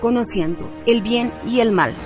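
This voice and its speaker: A woman reading a scripted radio narration in a solemn, deliberate manner. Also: on the high side. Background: music.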